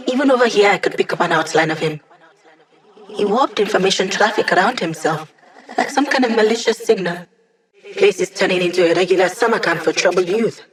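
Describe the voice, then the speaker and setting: A woman's voice: medium pitch, natural voice, rough recording. An actress playing a young female hacker and tech ally in a video game, upbeat, casual and playfully sardonic. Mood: wry, playful, then teasing, encouraging.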